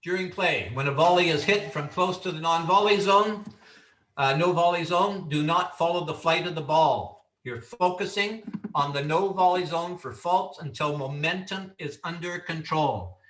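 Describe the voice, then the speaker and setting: A man from Canada lecturing referee trainees, loud and sure of himself, his voice bright and a touch high. He sits back from the mic in an echoey room, so the sound is roomy and distant. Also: moderate pace. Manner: loud, confident, instructive delivery. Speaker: referee instructor.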